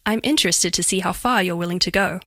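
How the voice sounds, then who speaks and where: A woman's voice: on the low side, fast, a bit bright, no room sound, clean studio sound. A woman voicing a curious female alien crystal being for a dating game, speaking formally with stilted, precise, clinical phrasing and awkward flirtation. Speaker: video game voice actress. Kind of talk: scripted dating-game character voice lines.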